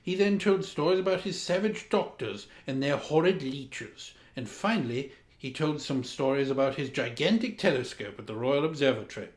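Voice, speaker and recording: A man's voice: mid-range voice, animated, normal speed, few pauses. A man reading a children's story in a pompous, grandly formal and theatrical way, with dramatic emphasis. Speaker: storyteller, host of a story time. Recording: roomy, echoing room sound.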